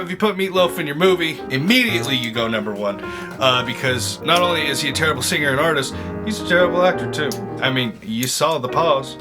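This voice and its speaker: Slangy man reacting, music behind him. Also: on the high side. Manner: slangy, exclamatory, mocking. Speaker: YouTube host reacting to movie trailers. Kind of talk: casual reaction commentary.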